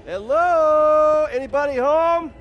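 An actor playing a brash, curious young American superhero in a video game, flippant and casual. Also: male voice, on the high side, lively intonation, brisk, lo-fi sound, slight background noise, natural voice. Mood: wary, startled. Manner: cocky, flippant.

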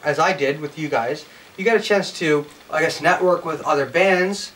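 A man's voice: on the high side, moderate pace, fairly bright tone. A man in his thirties hosting a casual interview in an informal, bantering, self-deprecating way. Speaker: show host, interviewer. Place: indoor lounge with amps and instruments. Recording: distant mic, faint background noise.

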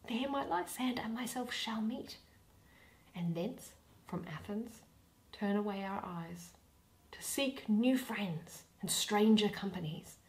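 Slow, deliberate young woman reading verse. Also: fairly high pitch. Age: young adult. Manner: deliberate, expressive, pausing between phrases. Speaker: reader of a Shakespeare play. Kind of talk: dramatic solo play reading, verse. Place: small plain room indoors.

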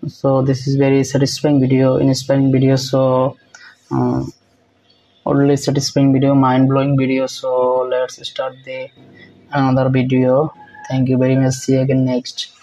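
Young guy reacting on phone cam, halting broken english, super monotone and dark. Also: medium pitch, slight background noise, poor audio. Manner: repetitive, halting non-native English with fillers. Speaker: reaction video host. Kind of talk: casual reaction commentary. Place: indoors, small room.

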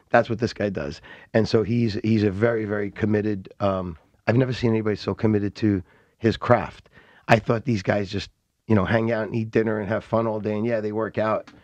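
Brief: man as podcast guest, low voice, rambling with fillers, close dry studio mic. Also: darkish tone, no room sound, dull audio. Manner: rambling, many fillers and restarts. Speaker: interview guest, film director. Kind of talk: casual interview. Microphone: studio mic on boom arm.

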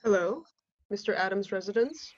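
A polite, guarded stranger voiced by an actress answers a household telephone in a radio drama. The delivery is formal and reserved, at a middling pitch, with muffled sound. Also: female voice, animated, moderate pace, natural voice. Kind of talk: radio play acting.

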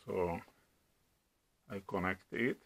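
A middle-aged man from the Czech Republic with a Czech accent, demonstrating products at home in a slow, halting way with many hesitations. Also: low-pitched, gravelly, fairly bright tone. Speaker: product reviewer. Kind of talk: casual product demo. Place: home room at a table.

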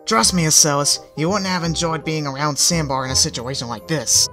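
A woman's voice: deep voice, brisk, fairly bright tone, soft background music. A woman voicing a smug, cocky young female dragon who explains things with a smirk.

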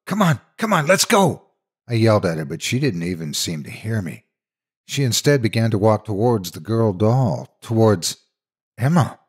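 A man narrating a scripted horror story in a dramatic, measured, suspenseful manner. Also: fairly low pitch, a little mellow, husky.

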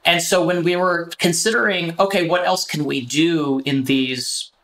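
A man's voice: on the high side, steady tone, measured pace, a bit bright, poor audio. A man speaking casually as an interview guest about his work. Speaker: theater director of new artists, guest.

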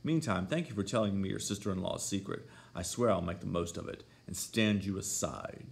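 Guy doing a deep gravelly brooding villain from an old novel, bitter, growly, out for revenge. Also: expressive, fairly quick. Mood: vengeful. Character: brooding, vengeful villainous man.

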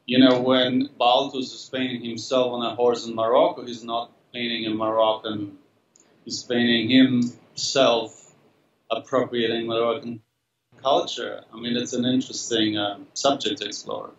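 A middle-aged man, answering interview questions slowly over a video call, with many fillers and restarts. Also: medium pitch, little pitch movement, slight background noise. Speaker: interviewed artist. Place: studio room, indoors. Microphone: laptop webcam on a video call. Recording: roomy, distant, dull video call audio.

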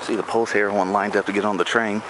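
Man, lowish voice, wandering camera commentary outdoors, loud background noise. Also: little pitch movement, very fast. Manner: musing, speculative, low-key. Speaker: urban explorer filming. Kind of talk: casual walk-through commentary. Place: outdoors in an abandoned amusement park.

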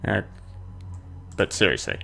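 A man's voice: unhurried, sharp tone. A man giving casual, playful commentary while playing a horror video game, chatting and muttering to himself. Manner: playful, chatty, sings nonsense sounds to himself; mutters and reads on-screen notes aloud. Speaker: gamer, let's player. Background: game music.

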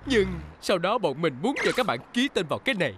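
A woman voicing a cheeky, excitable young sports car with a whiny manner in a children's cartoon dub. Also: mid-range voice, fast talker, background noise, close to an everyday voice. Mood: eager. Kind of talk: animated children's cartoon dubbing. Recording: loud music over the voice.

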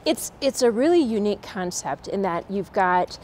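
A woman's voice: mid-range voice, lively intonation, moderate pace, a little mellow, slight background noise. A woman in her thirties explaining something as an interview guest, on a lapel mic. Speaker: stage actor, interview guest. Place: large indoor hall decorated with Christmas trees.

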